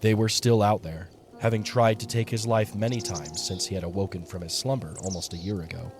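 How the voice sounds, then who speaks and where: A man's voice: low voice, fairly even intonation, a little fast. A man narrating a dramatic story as a scripted audio drama narrator. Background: music behind the voice.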